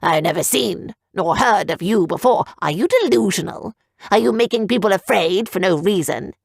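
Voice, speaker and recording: A woman's voice: medium pitch, animated, normal speed, gravelly, husky, no room sound, studio quality. A woman voicing a pompous, self-assured male town orator who blusters at the crowd. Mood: scornful.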